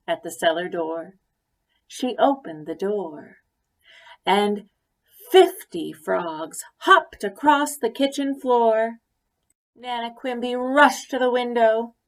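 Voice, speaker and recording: A woman reading a children's story aloud expressively, deliberately, with pauses for emphasis. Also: fairly high pitch. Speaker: story reader. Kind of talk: expressive picture-book read-aloud.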